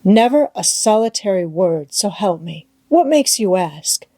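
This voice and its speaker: A woman's voice: mid-range voice, animated, measured pace, mellow, no room sound. A woman voicing a plain-spoken, kindly vagabond boy in an audiobook, with emphatic, drawling rustic dialect.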